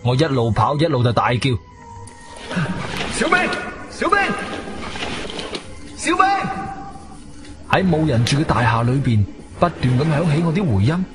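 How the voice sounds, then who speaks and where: A man's voice: mid-range voice, a little slow, long pauses. A male actor playing an agile, intense adventurer who narrates his own radio drama in suspenseful Cantonese. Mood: urgent, alarmed. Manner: animated, suspenseful storytelling. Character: agile martial-arts-trained adventurer, urgent and intense. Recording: studio radio drama with music behind.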